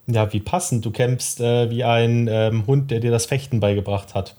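A man with a mellow, darker tone at a middle pitch, the guest on a gaming podcast. He reasons aloud in a dry, considered way, pausing with fillers as he thinks. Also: fairly even intonation. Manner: dry, thoughtful, hesitant. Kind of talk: casual podcast talk.

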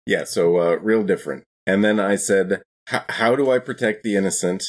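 A man's voice: fairly low pitch, moderate pace. A man playing a tabletop role-playing game, talking casually and a little hesitantly in character.

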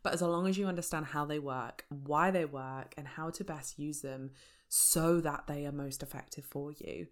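A woman hosting a casual solo podcast, chatty and laughing often between fillers, her voice a little croaky from a sore throat. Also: low-pitched, steady tone, normal speed. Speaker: podcast host.

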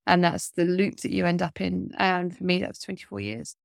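A woman's voice: lowish voice, little pitch movement, a little fast, vocal fry, dry recording, clean studio sound. A woman in her forties, a coach speaking as an interview guest, talking earnestly with a blocked-up nose and many fillers. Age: 40s. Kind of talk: casual interview chat.

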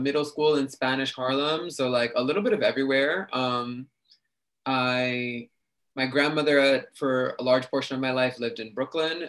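A man's voice: medium pitch, fairly bright tone. Chatty young New man. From New York City. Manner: talkative, chatty with frequent fillers. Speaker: guest. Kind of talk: casual interview chat.

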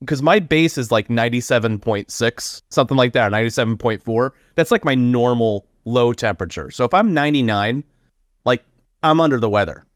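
A man's voice: medium pitch, fairly quick, no room sound, studio quality. A man hosting a casual podcast chat, talking animatedly and acting out quotes in a raised voice. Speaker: podcast host.

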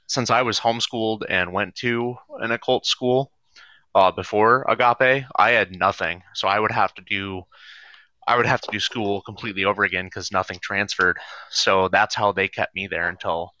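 A young man in his twenties who was a former student, telling his story haltingly with many fillers over a dull, lo-fi call line. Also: lowish voice, steady tone, sharp tone, no room sound. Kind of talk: casual personal account.

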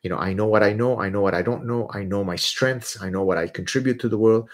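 Blunt, animated Lebanese-born man. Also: on the low side, quick pace. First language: Arabic. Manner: animated, blunt, emphatic, mocking voices when ranting. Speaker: livestream host answering viewer questions. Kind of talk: casual livestream talk.

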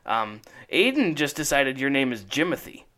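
A man's voice: mid-range voice, sing-song, moderate pace, pushed and bright. A man co-hosting a casual podcast, chatty and laughing often, repeating his words as he goes. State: feeling run down. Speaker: podcast co-host. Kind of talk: casual chat.

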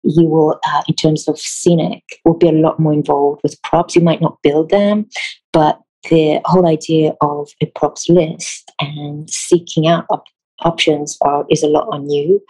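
Husky low-voiced woman, thoughtful. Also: steady tone, warm tone, low-quality recording. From Israel. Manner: thoughtful, measured, with pauses and self-corrections. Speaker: theatre designer. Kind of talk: interview answers, reflective.

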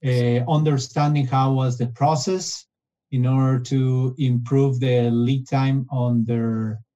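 A man's voice: mid-range voice, little pitch movement, takes it slowly, warm tone, lo-fi sound. A man, talking as a guest in an interview, often restarting his phrases. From Argentina. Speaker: guest, business development director. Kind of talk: casual interview conversation.

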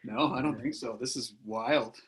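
A man hosting a casual faith podcast, talking in a relaxed, friendly way with plenty of fillers. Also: medium pitch, normal speed, breathy edge. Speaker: podcast host.

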